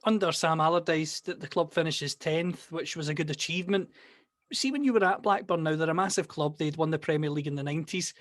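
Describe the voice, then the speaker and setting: A man's voice: fairly high pitch, a little fast, no echo. A Scottish man interviewing a guest, building up long, carefully framed questions. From Scotland. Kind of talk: podcast interview.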